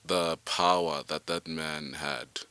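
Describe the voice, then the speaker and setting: Deep, bright-voiced young man from Cameroon. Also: steady tone, slow, clean tone, no room sound. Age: young adult. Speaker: podcast co-host. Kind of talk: conversational podcast discussion. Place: small indoor podcast studio. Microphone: microphone on a stand.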